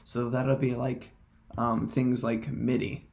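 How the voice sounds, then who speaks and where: Man, gravelly creak, casual tutorial presenter, hesitant phrasing, unscripted. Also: medium pitch, level tone, slow.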